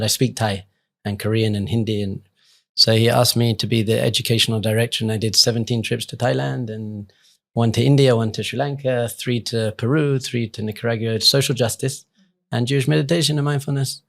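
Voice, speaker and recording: A man's voice: medium pitch, steady tone, fairly quick, vocal fry. A man speaking as an interview guest, reflective and earnest in an informal, conversational way. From England. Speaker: rabbi and podcast guest.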